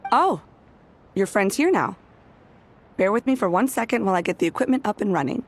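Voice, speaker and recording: Woman playing a mid-pitched, courteous bar boss. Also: animated, brisk, slight background noise, lightly acted. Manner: courteous, composed. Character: polite, businesslike woman running a resort bar. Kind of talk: video game voice acting.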